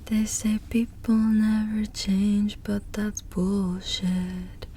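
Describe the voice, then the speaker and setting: A woman doing ASMR, singing and whispering songs in a soft, breathy, gentle voice. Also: medium pitch, flat delivery, a little hiss or hum. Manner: slow, drawn-out, gentle delivery. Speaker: ASMR performer.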